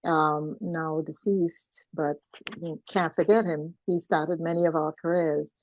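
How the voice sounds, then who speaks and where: An older woman with a Trinidadian accent, telling her life story conversationally as an interview guest, in an expressive, unhurried way. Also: low voice, dry recording, lo-fi sound.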